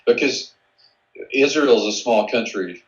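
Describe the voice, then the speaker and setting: A man who is a guest researcher on an interview podcast, speaking in a measured way with frequent restarts. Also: medium pitch, lively intonation, reverberant, lo-fi sound. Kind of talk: conversational interview.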